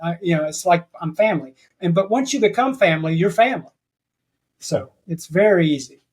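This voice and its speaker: A man's voice: high-pitched, animated, brisk, a little mellow, breathy edge. A man talking as a podcast guest in a rambling way, often restarting his sentences. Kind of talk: casual interview chat.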